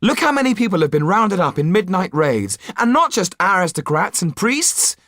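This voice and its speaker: A man's voice: high voice, very animated, moderate pace, fairly bright tone, no echo, studio quality, voice pushed up. A man voicing an earnest, defiant young idealist in a storybook, speaking urgently and directly.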